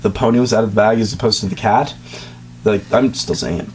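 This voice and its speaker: Guy reading a story out loud for laughs, dry sarcastic comments, faint hum behind. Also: on the low side, quick pace, low-quality recording. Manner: sarcastic, deadpan asides. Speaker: reader and host. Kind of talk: comedic dramatic reading.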